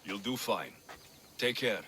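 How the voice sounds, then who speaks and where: A man's voice: on the low side, expressive, unhurried, background noise. A man playing a wry, direct, protective ancient Greek mercenary in a video game. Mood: firm, kind. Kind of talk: video game character acting.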